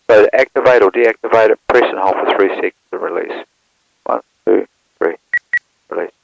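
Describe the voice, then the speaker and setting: A man, walking through how to operate an aircraft radio step by step in a plain, steady manner, recorded through an intercom headset. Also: on the low side, moderate pace, gravelly, a little hiss or hum, low-quality recording. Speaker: instructor demonstrating an aircraft radio. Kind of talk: hands-on equipment walkthrough.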